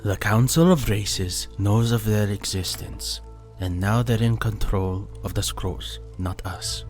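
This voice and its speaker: An actor playing Meineken, a calm and secretive male ninja who explains old lore in a measured, matter-of-fact way, in an audio drama. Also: fairly low pitch. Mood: defensive. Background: music.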